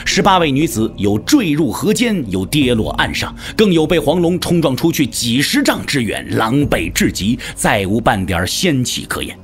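A man's voice: medium pitch, big pitch swings, moderate pace, few pauses, soft background music. A man narrating a martial-arts novel as an audio drama, with theatrical, scripted storytelling delivery.

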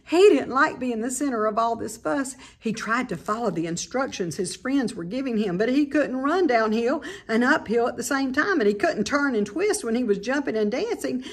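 A middle-aged woman with a slightly husky voice reads a children's story aloud expressively, like a teacher. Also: high voice, expressive, moderate pace. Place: indoor room at home by a staircase.

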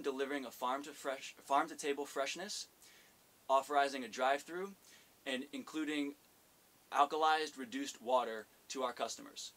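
A young man in his twenties, the owner of a cafe, delivering a rehearsed business pitch to camera in an earnest, steady way, with the odd slip. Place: indoor studio set. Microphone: fixed camera framing, head and shoulders. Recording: faint hiss.

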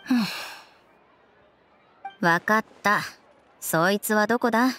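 An actress playing a stern, by-the-book young police captain in an anime-style game, formal, clipped and dry. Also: female voice, medium pitch, slow pace, pushed and bright, soft background music. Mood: skeptical, stern.

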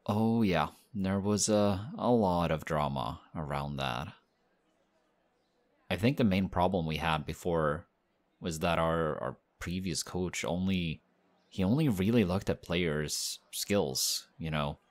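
A man voicing a friendly, modest young male team captain in an audio roleplay, talking in a relaxed, chatty way. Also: sits low, slow pace. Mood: excited, friendly.

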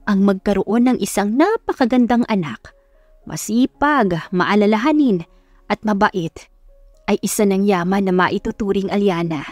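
A woman's voice: medium pitch, normal speed, dry recording, faint music behind, lightly acted. A woman voicing a loving, hardworking middle-aged mother, tender and sentimental, in an audio love story. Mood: tender, proud.

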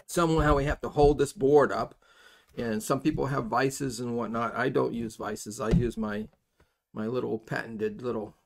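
An older man giving an informal hands-on demonstration as an instructor, in a halting, folksy, rambling way. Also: medium pitch, gravelly. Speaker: soldering instructor. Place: indoors at a workbench.

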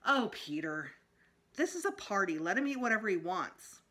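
Woman reading as an easygoing, bright-voiced mom. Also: mid-range voice, sing-song, normal speed. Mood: reassuring. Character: unworried, easygoing mother of young children.

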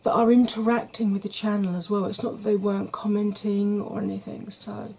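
A middle-aged woman chatting softly in a rambling, gentle way for an ASMR makeup vlog, seated in a small room at home. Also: mid-range voice, level tone, brisk, gravelly, slight background noise. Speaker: beauty YouTuber. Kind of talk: soft-spoken ASMR makeup chat.

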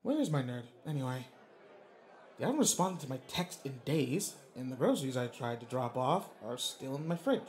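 A man's voice: medium pitch, sing-song, normal speed, darkish tone, close to an everyday voice. A man playing a brusque, anxious young student bully who secretly cares, in an audio roleplay drama with emotional delivery. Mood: brooding, low.

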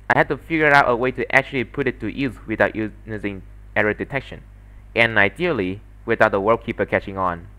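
Man voicing a solitary scheming young woman, bright-toned. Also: fairly low pitch, low-quality recording. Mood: calm scheming. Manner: musing to herself. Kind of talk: first-person visual novel monologue.

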